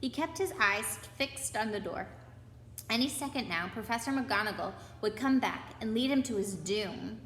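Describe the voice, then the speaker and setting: A woman's voice: mid-range voice, expressive, normal speed, fairly bright tone. A woman reading a children's story aloud, with chatty asides to her young listeners. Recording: echoey room with background noise.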